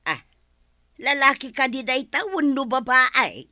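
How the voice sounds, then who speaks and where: A woman's voice: sits high, lively intonation, a little slow, pushed and bright, no echo, clean studio sound, exaggerated voice. An actress playing a chatty, teasing village woman in a comedy radio drama, speaking with an Ilocano accent.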